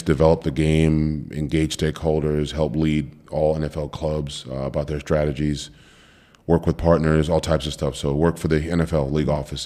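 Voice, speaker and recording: A middle-aged man from Cameroon with an extremely deep, low voice tells his story as a podcast guest. He talks in a loose, rambling way, restarting phrases and leaning on fillers. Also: dark tone. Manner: rambling, repeats words, many fillers. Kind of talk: relaxed conversational interview.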